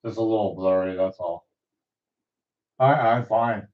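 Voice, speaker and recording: A man in his late sixties chatting casually with viewers during a livestream, halting and trailing off as he rambles. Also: lowish voice. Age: 60s. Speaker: livestream host. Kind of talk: casual live chat with viewers. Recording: distant, dull lo-fi sound.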